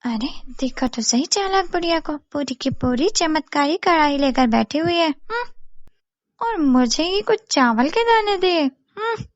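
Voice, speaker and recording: A woman's voice: high voice, animated, unhurried, no room sound, dull audio, voice pushed up. A woman voicing a sly, greedy, envious young village woman in a storybook tale, exclaiming and gloating melodramatically.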